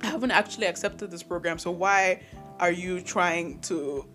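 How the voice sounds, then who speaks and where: Candid rambling young man, slightly high. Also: normal speed, pushed and bright, faint music behind. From Nigeria. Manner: rambling and candid, restarting sentences, laughing often. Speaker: podcast host. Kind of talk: solo podcast monologue.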